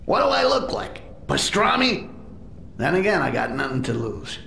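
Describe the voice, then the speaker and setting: Sly goblin thief, gravelly creak, sarcastic, swooping intonation, video game acting. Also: male voice, mid-range voice, huge pitch swings, moderate pace, pushed and bright, slight background noise, exaggerated voice. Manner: sarcastic, wry, muttering to himself.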